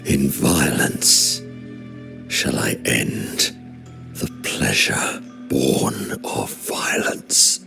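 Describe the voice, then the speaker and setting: A grandiose doomed zealot, very deep and gravelly. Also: male voice, lively intonation, very slow, music in the background, exaggerated voice. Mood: grim. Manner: solemn, grandiose declarations. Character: doomed proud male zealot leader, defiant. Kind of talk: video game voice acting.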